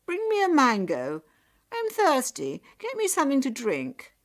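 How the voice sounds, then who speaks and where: A woman's voice: lowish voice, measured pace, gravelly, a bit bright. Spoiled young storybook girl, played by a woman, selfish, demanding, full of complaint. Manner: bossy, complaining.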